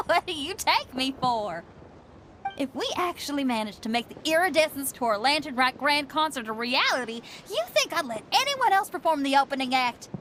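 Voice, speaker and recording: A woman's voice: high-pitched, big pitch swings, moderate pace, a bit bright, a little hiss or hum. A woman playing an upbeat, spirited young female rock musician in a video game cutscene. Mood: encouraging, confident. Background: faint game music.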